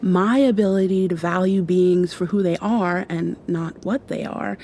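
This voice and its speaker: A gentle young vampire girl played by a woman talks to her girlfriend in an intimate ASMR roleplay. Her voice is mellow and fairly low, and she takes her time, tender and thoughtful, pausing as she confides. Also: fairly even intonation, a little hiss or hum. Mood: serious, explanatory. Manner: soft, tender, unhurried, thoughtful pauses.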